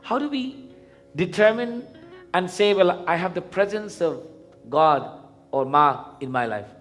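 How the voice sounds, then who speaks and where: High-voiced Guyanese man preaching, music behind. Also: animated, a bit bright. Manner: didactic, questioning, deliberate repetition. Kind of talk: interactive sermon. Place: live event in a temple before a congregation.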